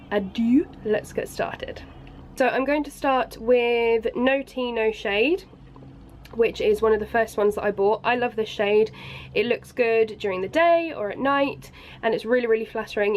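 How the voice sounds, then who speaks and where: A woman's voice: on the high side, a little hiss or hum. A woman with a bunged-up voice from a cold, chatting casually through a makeup review at home. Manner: chatty, apologetic, frequent ums. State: congested from a cold. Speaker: beauty vlogger. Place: bedroom at home by a busy road.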